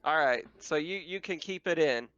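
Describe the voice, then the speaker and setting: A man's voice: on the high side, lively intonation, moderate pace, pushed and bright, clear, resonant tone, muffled recording. A man acting as game master, casually guiding players through a tabletop roleplaying game.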